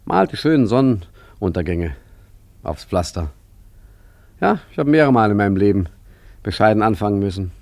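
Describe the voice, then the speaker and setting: An actor in a German radio play as a wry, talkative rogue art forger with an urbane, ironic manner. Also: male voice, fairly low pitch, very animated, normal speed, pauses often, darkish tone, dry recording. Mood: wry, reminiscing. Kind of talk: German radio play acting and first-person narration.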